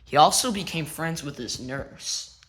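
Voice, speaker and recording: A man narrating a biography as a read-aloud, telling it simply and in measured phrases. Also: a little high, lively intonation, fairly bright tone. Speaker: narrator and show host.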